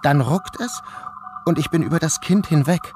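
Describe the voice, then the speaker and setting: A man's voice: on the high side, normal speed, music in the background, natural voice. An actor playing an anxious, shaken man narrating his own mishap in a radio play, in precise literary phrasing. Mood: tense.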